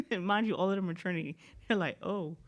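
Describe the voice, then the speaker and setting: A woman's voice: lowish voice, brisk. A woman co-hosting a podcast, chatting casually in a laid-back, rambling way and laughing often. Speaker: podcast co-host. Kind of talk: casual chat.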